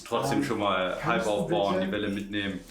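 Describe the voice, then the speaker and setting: A man's voice: lowish voice, steady tone, moderate pace, roomy sound, crowd noise. A man on a boxer's team chatting casually and in an upbeat, persuasive way. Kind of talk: casual chat.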